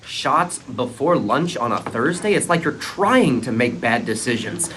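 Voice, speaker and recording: An actor reading a lively brash new dad. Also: male voice, mid-range voice, a little slow, a little hiss or hum. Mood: scolding. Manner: animated, swings from tender hush to loud scolding. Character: brash bachelor turned doting new dad. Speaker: actor at a table read. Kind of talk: table-read line delivery.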